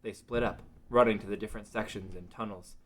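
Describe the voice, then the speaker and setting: A man's voice: on the low side, steady tone, fast, a bit bright. A man narrating a scripted fantasy adventure as the narrator of a table read.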